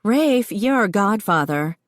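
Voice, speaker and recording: A woman voicing a heavily pregnant woman who teases her brother in quick, exclamatory bursts. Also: mid-range voice, lively intonation, no room sound, clean studio sound, voice pushed up. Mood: teasing. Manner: teasing, exclamatory. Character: pregnant adult woman, teasing, blunt older sister.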